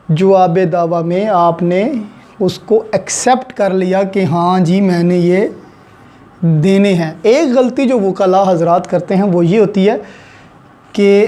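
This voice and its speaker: A man's voice: sits high, dark tone. A Pakistani man giving an explanatory lecture as a teacher, setting out points step by step with examples and repetition. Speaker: law teacher. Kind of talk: explanatory lecture mixing Urdu with English legal terms.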